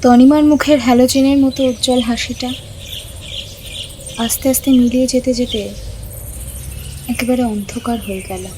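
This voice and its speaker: A woman's voice: a little high, steady tone, moderate pace, pauses often, mellow, slight background noise. A woman narrating a scripted audio story. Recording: music under the voice.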